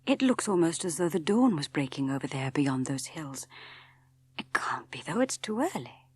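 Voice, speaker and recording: An anxious young English wife speaks in soft, uncertain tones, played by a female audiobook reader. Her delivery is breathy and tentative, with a pleading edge. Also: deep voice, very animated, normal speed, exaggerated voice. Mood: puzzled, uneasy. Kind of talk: audiobook character dialogue.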